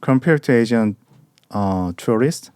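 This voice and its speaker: Podcast host: man in his thirties, mellow and smooth, dry close mic. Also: medium pitch, a little slow, no echo. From South Korea. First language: Korean. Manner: relaxed, short prompts and questions. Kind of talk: casual interview chat.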